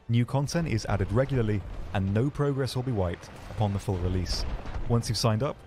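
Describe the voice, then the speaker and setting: A man narrating a scripted game showcase as a developer, explaining features in a measured way. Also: lowish voice, a little mellow. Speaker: game developer presenting his team's game. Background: background music.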